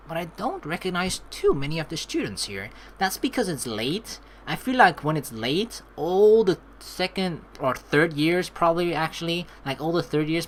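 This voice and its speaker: Casting: young female let's player, lower-pitched, hugely varied intonation, playful read-aloud and chatter. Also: a little hiss or hum. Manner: playful, expressive. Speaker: let's play host. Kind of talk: reading game text aloud with casual commentary. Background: game music.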